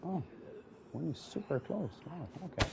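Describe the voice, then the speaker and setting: A man's voice: fairly high pitch, lively intonation, normal speed, creaky, heavy background noise. A man with a French Canadian accent, a native French speaker, giving rambling casual gameplay commentary and addressing his viewers directly. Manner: chatty and rambling, often restarting words, talks straight to viewers. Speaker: gaming video host.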